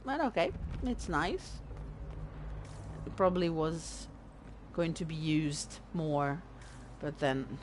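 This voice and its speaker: A woman's voice: low-pitched, slow, long pauses, slight background noise. A woman from Italy with an Italian accent, a native Italian speaker, chatting and thinking aloud in a self-deprecating way while commentating a video game playthrough. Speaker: let's-play host. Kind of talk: casual gameplay commentary.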